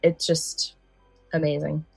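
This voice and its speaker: A woman's voice: low voice, level tone, slow, vocal fry, darkish tone, slightly rough, no echo, faint music behind, rough recording. A woman co-hosting a podcast, speaking conversationally about things she has chosen to share. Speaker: podcast co-host. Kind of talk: casual podcast chat with some prepared narration.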